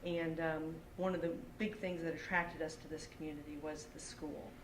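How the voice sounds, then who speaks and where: A woman's voice: low voice, flat delivery, normal speed. A middle-aged woman from Texas with a Texan accent, giving an earnest public comment as a parent at a school board meeting. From Texas, United States. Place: indoor public meeting room. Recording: echoey, noisy meeting room, distant microphone.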